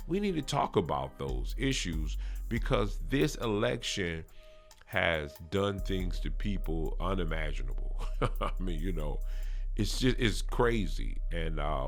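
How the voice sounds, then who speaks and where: A man hosting a podcast, talking casually to live viewers in an earnest, halting way with stammered restarts and rhetorical questions. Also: sits low. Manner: halting, repetitive phrasing with frequent stammered restarts. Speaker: podcast host.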